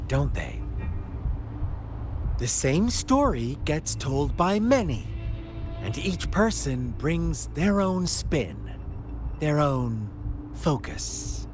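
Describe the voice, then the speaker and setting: A man's voice: a little high, big pitch swings, extremely slow, long pauses, full character voice. An actor voicing a sly, mocking young male villain in a game cutscene, speaking in a theatrical, teasing, fable-telling manner. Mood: storytelling. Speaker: game antagonist. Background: game soundtrack music.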